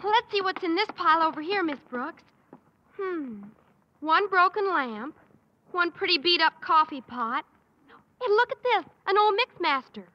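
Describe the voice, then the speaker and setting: An actress playing a sweet, earnest teenage daughter in a 1950s radio sitcom. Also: female voice, very high voice, animated, unhurried. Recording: dull old radio broadcast.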